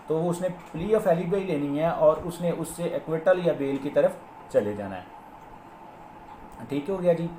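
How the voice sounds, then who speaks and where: A man's voice: fairly high pitch, darkish tone. A man with a Pakistani accent giving an explanatory law lecture, mixing in English legal terms. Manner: explanatory, mixes Urdu with English legal terms, slows when reading out clauses. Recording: echoey room, faint background noise.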